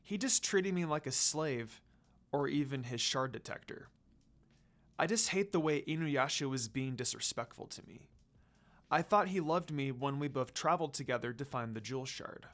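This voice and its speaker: A woman voicing a gentle, heartbroken teenage girl in a story reading, with a soft, murmuring delivery. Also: very deep voice, lively intonation, normal speed. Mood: hurt, sad.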